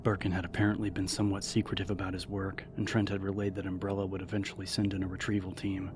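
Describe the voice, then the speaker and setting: A man reading a novel as an audiobook narrator, in a measured, serious, dramatic style. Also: sits low, little pitch movement, noisy background. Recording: narration over background music.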